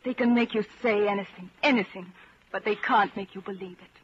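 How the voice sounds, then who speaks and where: A woman's voice: mid-range voice, brisk, lightly acted. An actress playing a defiant, devoted young woman rebel, intense and insistent, in 1940s radio drama style. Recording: muffled, hissy 1940s radio broadcast.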